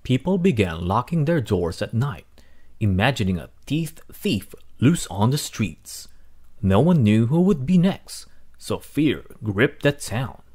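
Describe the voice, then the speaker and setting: A man reading a children's storybook aloud as narrator, in an expressive, dramatic way. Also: mid-range voice, a little slow, breathy edge. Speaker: read-aloud host.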